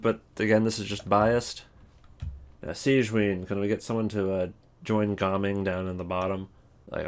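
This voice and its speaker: A man giving casual, opinionated video commentary on game voice lines, thinking aloud in halting, rambling sentences. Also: deep voice, darkish tone. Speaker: video host.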